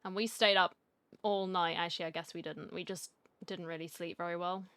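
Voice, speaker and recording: A woman's voice: on the low side, steady tone, brisk, fairly bright tone, smooth. A woman giving casual, informal commentary as she plays through a game, chatting and reacting aloud. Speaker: game streamer.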